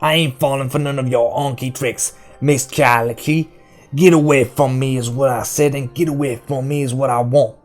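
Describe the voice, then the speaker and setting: A man voicing a spiteful, suspicious captive woman in an audiobook, hoarse and jeering in crude dialect. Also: mid-range voice, steady tone, moderate pace, soft background music, natural voice. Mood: defiant.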